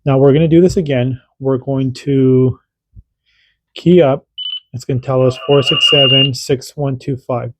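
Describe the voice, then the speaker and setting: A man's voice: mid-range voice, steady tone, unhurried. A man hosting a casual radio hobby tutorial, chatty and repetitive, doing radio checks as he demonstrates. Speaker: radio hobby channel host.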